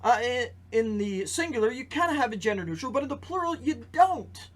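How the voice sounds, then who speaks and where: A man's voice: very high voice, very animated, fairly quick. A man giving conversational news commentary as a podcast host, posing rhetorical questions and repeating phrases for emphasis. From Canada.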